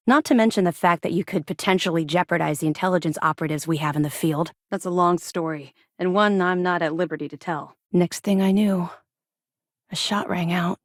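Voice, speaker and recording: Disciplined female space navy officer, lower-voiced. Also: dry recording, studio quality. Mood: reflective. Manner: crisp, formal, businesslike. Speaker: military officer briefing the player. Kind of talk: scripted video game dialogue.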